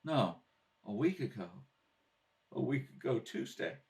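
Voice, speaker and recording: A man's voice: medium pitch, expressive, a little slow, gravelly, darkish tone. A man voicing a proud, earnest young boy who is protective of his family in a radio drama read-through. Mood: subdued, earnest. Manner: earnest, insistent. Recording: distant mic in a home kitchen.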